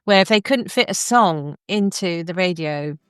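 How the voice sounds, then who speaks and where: Female podcast interviewer, slightly low voice, conversational delivery, dry close sound. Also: animated, normal speed, clear, resonant tone, no echo, faint music behind.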